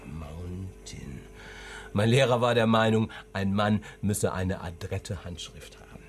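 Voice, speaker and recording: Elderly grandfather for children's audio drama: slightly deep male voice, unhurried, wry, kindly, old-fashioned, often muttering. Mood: wry, absorbed. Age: older. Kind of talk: children's radio drama acting.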